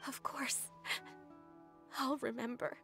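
A high-voiced, timid young girl. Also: fairly even intonation, bright, forceful tone, heavily acted. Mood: subdued. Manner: hesitant, halting, pleading. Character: timid, remorseful young girl, an orphanage ward. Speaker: video game voice actor. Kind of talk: emotional video game dialogue. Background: game music.

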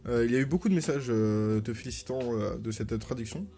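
Male stream co-host, mellow mid-range voice, mock-formal and joking, light music behind. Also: mid-range voice, quick pace. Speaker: livestream co-host. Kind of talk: casual chat, reading viewers' messages. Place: indoor room, livestream.

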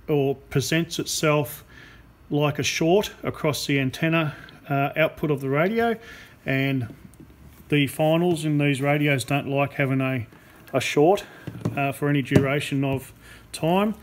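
A man explaining a hands-on radio repair as a technician, unscripted, with a halting delivery and long pauses. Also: fairly high pitch. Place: indoor workbench in a repair workshop. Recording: clear background noise, workshop ambience. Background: workshop room noise.

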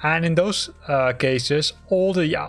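Guy doing a step by step how-to, kinda high voice, informal, bit of music in the back. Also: slow, a bit bright. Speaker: host of a flight simulator tutorial channel. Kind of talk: spoken how-to tutorial over screen capture.

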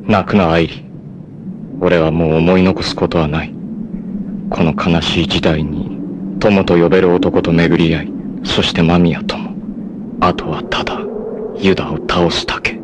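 A man voicing a proud young warrior in an anime, making solemn, defiant declarations in a low, intense voice with sudden high battle shouts. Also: fairly even intonation, unhurried, long pauses. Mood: tender farewell. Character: proud long-haired young male warrior, grim and devoted. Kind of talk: 1980s anime dub acting. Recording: noisy old TV soundtrack.

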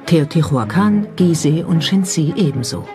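Documentary narrator, medium pitch, scripted delivery over prominent music. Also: lively intonation, moderate pace. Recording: music behind the voice. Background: background music.

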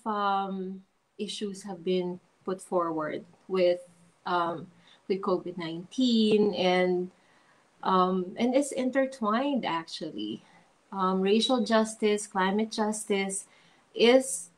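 A woman from the Philippines with a Filipino accent talks over a video call. Her voice sits in a middle pitch, and she speaks earnestly, often stopping to search for words. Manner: earnest, hesitant with frequent ums. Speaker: interview guest, pageant titleholder. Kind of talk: casual interview, mixing English and Tagalog.

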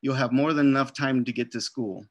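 A man voicing a gentle but firm mother who soothes and scolds her daughter, over a video call. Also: mid-range voice, steady tone, brisk, close to an everyday voice.

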